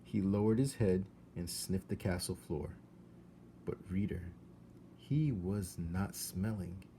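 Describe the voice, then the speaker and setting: A man reading a children's story aloud as an expressive storyteller, deliberate, with dramatic emphasis. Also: sits low, a little hiss or hum.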